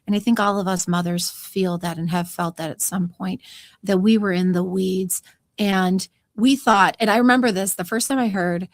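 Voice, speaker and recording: Gravelly-voiced chatty woman podcast host. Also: medium pitch, no room sound. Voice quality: soothing. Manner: chatty and upbeat, laughs easily. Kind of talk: conversational podcast interview.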